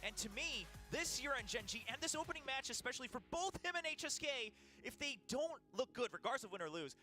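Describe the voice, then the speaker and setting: A high-voiced man talks fast with a bright, forceful edge, giving esports analysis from a broadcast desk. Faint music plays behind him. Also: very animated. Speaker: esports caster.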